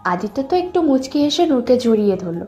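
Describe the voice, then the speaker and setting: A woman's voice: on the high side, very animated, a little fast, warm tone, faint music behind. A woman reading scripted romantic fiction as a storyteller. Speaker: narrator.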